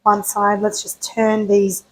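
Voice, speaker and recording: A woman with a croaky voice hosting a home cooking show, chatting casually and answering her own questions as she cooks. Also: mid-range voice, monotone, takes it slowly, rough recording. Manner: chatty, asks herself questions and answers them.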